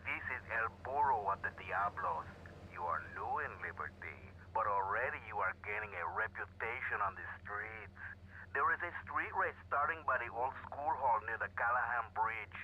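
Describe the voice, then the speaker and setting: An actor playing a self-assured gang boss over the telephone in a video game, measured and commanding. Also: male voice, lowish voice, sharp tone. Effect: telephone filter. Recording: dull phone line, faint noise.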